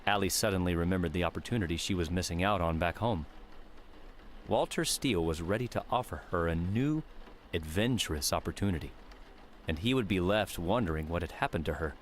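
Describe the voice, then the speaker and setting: A man narrating an audiobook in a steady, measured reading. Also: low voice, slight background noise.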